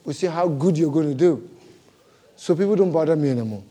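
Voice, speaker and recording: Animated pastor with a non-native English accent. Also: male voice, a little high, fairly quick, breathy edge. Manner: animated, laughing storyteller, big swings in loudness. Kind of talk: sermon.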